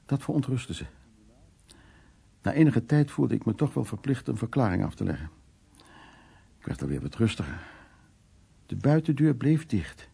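An actor playing a guarded, teasing man in a radio drama, speaking intimately and a little haltingly with a husky, gravelly voice. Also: mid-range voice, very animated, mellow, full character voice. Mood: tense recollection. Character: teasing, guarded man haunted by wartime flight. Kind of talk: naturalistic radio drama dialogue and recollection. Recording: radio play with faint music.

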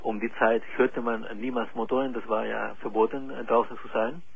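Halting gravelly Chilean man on a call-in line. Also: medium pitch, little pitch movement, narrow, tinny audio. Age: 40s. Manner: hesitant, halting with many fillers. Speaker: caller. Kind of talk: call-in radio conversation.

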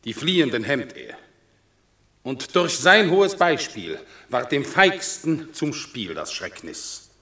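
An actor playing a solemn Roman orator, declaiming verse in a classic radio drama. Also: male voice, medium pitch, very animated, slow, pushed and bright, reverberant, exaggerated voice. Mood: solemn praise. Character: solemn male Roman orator praising a hero. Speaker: Roman consul reporting a battle.

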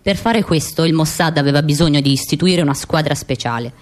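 An actress playing a stern secret agent recounting missions in measured, declarative radio theatre narration. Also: female voice, deep voice, natural voice. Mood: factual.

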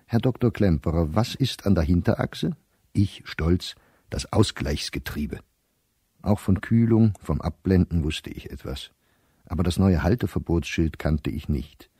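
An anxious middle-aged man reading his diary in a radio play. The voice is deep and mellow with a husky grain, recorded very close and dry. Sentences come short, sober and precise. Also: no echo, heavily acted.

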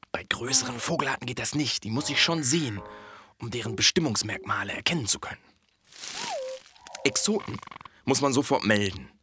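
An actor playing an obsessive, officious bird-watcher in an audio drama, lecturing pedantically and often dropping into a hush. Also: male voice, mid-range voice, natural voice. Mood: hushed, secretive. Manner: pedantic, hushed, often whispering. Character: obsessive, officious male bird-watcher guarding his patrol area. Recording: music behind the voice.

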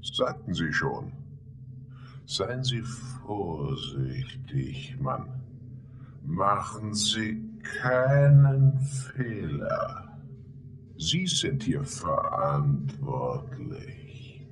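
A menacing, controlling boss, speaks very low and slowly. His radio-drama delivery is pointed and deliberate. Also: big pitch swings, background noise, full character voice. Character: menacing, authoritative male boss, impatient and controlling. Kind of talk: German radio drama acting.

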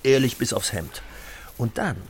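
An actor playing a slick, self-assured middle-aged car dealer in a radio drama, smooth-talking and expressive. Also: male voice, mid-range voice, unhurried, a little hiss or hum. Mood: indignant. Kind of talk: German audio drama acting.

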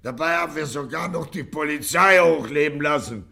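A stubborn gangster boss of middle age in an old radio satire. His voice is gravelly and sharp, with plenty of force. He blusters and makes demands in a gruff, thick-skulled way. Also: on the high side, brisk. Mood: gruff, defensive. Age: middle-aged. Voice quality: gravelly, hard-edged. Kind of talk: 1950s German radio play acting.

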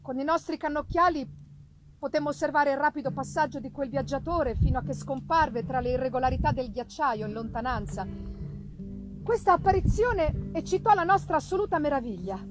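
A woman giving a theatrical, deliberately phrased dramatic reading of a gothic novel, with pauses for effect. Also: high-pitched, expressive, over music, rough recording. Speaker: reader of a literary work.

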